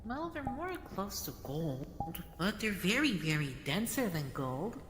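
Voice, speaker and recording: A woman speaking in character in an online role-play, with halting, drawn-out phrases. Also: mid-range voice, very animated. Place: online VRChat voice session. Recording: echoey reverberant audio with background noise.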